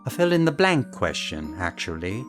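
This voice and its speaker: A man's voice: lowish voice, very animated, moderate pace, fairly bright tone, soft background music. A man playing a suave megalomaniac psychopath villain in a comedy audio drama, speaking with pompous, formal mock courtesy. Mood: grandiose, menacing. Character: suave, theatrical megalomaniac psychopath villain leading a legion from hell.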